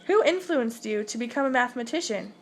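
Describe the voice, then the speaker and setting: Teen girl interviewer, high pitch, reads her questions straight. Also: lively intonation, a little fast, slight background noise. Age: teenager. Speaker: interviewer in a school project. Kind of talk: reading prepared interview questions.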